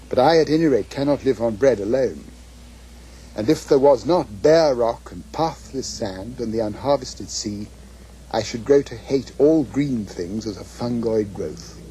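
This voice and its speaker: An older man with an English accent, reminiscing and reading letters aloud in a measured, emphatic, literary way. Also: medium pitch, warm tone, a little hiss or hum, low-quality recording. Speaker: author's son giving reminiscences and readings.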